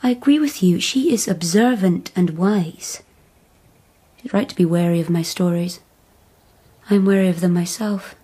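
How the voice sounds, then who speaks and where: An actress playing a strange young wild girl in a radio drama, breathy and confused, speaking in halting fragments. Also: fairly low pitch, dark tone. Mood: defensive, earnest.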